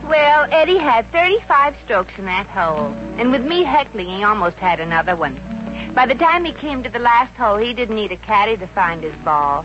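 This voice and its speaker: A woman's voice: medium pitch, natural voice. A woman playing a brash, teasing young woman with a sassy, mock-innocent manner in an old radio comedy. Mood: wry narrating. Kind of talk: 1950s American radio comedy acting. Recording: dull, hissy 1950s radio recording.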